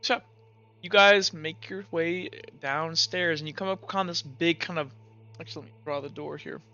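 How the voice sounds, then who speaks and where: Bright-voiced young game master. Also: male voice, on the high side, steady tone, moderate pace, dull audio, soft background music. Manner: loose narration, heavy on fillers like kinda and uh. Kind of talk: narrating a tabletop game.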